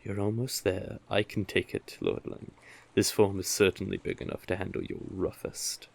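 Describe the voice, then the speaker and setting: A man's voice: sits low, level tone, normal speed, vocal fry. A man playing a cursed, tentacled male sea witch in an audio roleplay, coaxing and stately, doting yet commanding. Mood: urgent, commanding. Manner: coaxing, stately, elaborately worded. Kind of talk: scripted audio roleplay addressed to the listener. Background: ambient sound effects.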